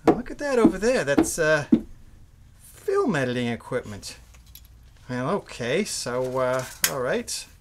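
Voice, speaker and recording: Eager jumpy kid in an old radio play, voice swings all over, bit of noise behind. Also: male voice, on the high side, big pitch swings, takes it slowly, pauses often. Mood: nervous muttering. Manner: folksy, nervous, muttering to himself, exclaiming. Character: eager, jumpy young male new radio studio employee. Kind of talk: live old-time radio drama acting. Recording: live stage recording with faint noise.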